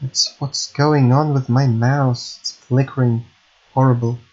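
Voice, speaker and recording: A man's voice: mid-range voice, level tone, measured pace, darkish tone, muffled recording. A man, thinking aloud while he records himself programming at his home computer, hesitant and self-correcting, often apologizing. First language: Russian. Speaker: hobby game programmer.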